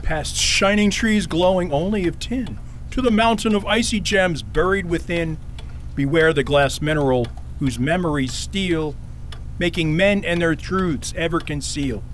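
Radio fairy tale: eccentric, pompous-sized old king played by a man, highish voice, very slow and swooping. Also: slight background noise, close to an everyday voice. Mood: mystic trance. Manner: theatrical, unhurried, chanting. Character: roly-poly eccentric old king, rhyming and stubborn.

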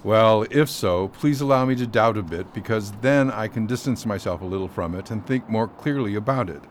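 An actor playing a shrewd, skeptical London solicitor in a radio drama, speaking in a measured, clipped way. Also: male voice, lowish voice, a little hiss or hum, natural voice. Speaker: lawyer.

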